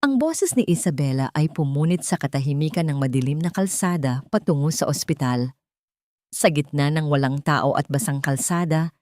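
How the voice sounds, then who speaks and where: A woman's voice: low-pitched, a little mellow, no echo, clean studio sound. A woman narrating a scripted dramatic story in a solemn, theatrical way. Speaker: audiobook narrator.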